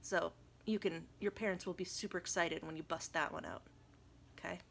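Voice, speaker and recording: A woman reading a picture book aloud to children, expressively and dramatically, dropping into hushed tones and chatty asides. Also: lowish voice, steady tone, moderate pace, creaky, a little hiss or hum. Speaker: volunteer children's story reader.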